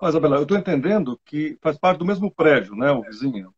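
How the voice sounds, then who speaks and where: Husky, higher-voiced Brazilian man explaining law. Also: very animated, fast talker, muffled recording. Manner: explanatory, anecdotal, ends phrases with tag questions. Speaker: lawyer guest. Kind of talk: conversational legal commentary on radio.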